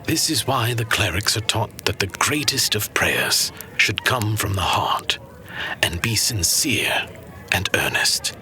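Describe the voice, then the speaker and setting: A man playing a wise old master who tells legends to a pupil in a solemn, measured, hushed fantasy storytelling style. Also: on the low side, lively intonation, in a whisper, heavily acted. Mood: solemn, reverent.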